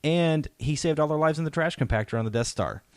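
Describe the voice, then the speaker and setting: A man's voice: a little high, a little fast, dry recording. A man hosting a podcast, chatting casually as a fan in an enthusiastic, emphatic way that seeks agreement. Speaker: podcast host.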